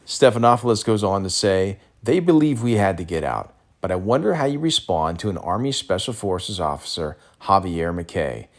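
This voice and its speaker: A man reading out transcript excerpts in a measured, matter-of-fact way. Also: sits low, lively intonation.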